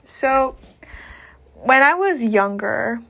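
A woman's voice: high-pitched, expressive, extremely slow, pushed and bright. A young woman from Indonesia talking casually and candidly to listeners as a podcast host, in an informal, confiding way with slang. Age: young adult. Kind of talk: casual personal talk to listeners.

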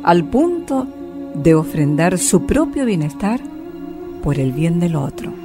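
A woman's voice: lowish voice, sing-song, slow, music in the background. A woman narrating a radio drama as a solemn, sentimental storyteller.

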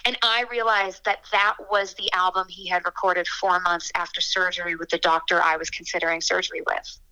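A young woman singer-songwriter answering interview questions in a chatty, frank way, with quick asides and fillers. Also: lowish voice, level tone, bright, forceful tone, clear, resonant tone, low-quality recording.

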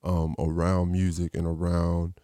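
A man's voice: low-pitched, completely flat delivery, unhurried, dark tone, dry recording, clean studio sound. A man hosting a podcast, telling a rambling, slangy story with lots of restarts. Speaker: podcast host. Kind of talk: casual interview intro.

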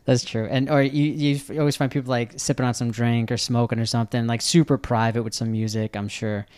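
A man's voice: mid-range voice, little pitch movement, fast, darkish tone, clean tone. A man hosting a travel podcast, chatting casually with a guest and often restarting his questions mid-sentence. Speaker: podcast host. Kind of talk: casual interview chat.

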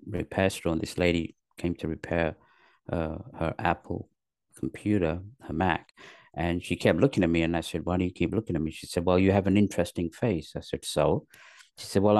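A middle-aged man from Pakistan, raised in England, recounts his life as an interview guest. His voice sits very low with a gravelly edge, and he stumbles through restarts and fillers. The sound is dry and close. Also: a little mellow, no room sound. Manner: rambling, halting with many fillers and repeated words. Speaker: guest, actor and comedian. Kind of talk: casual interview storytelling.

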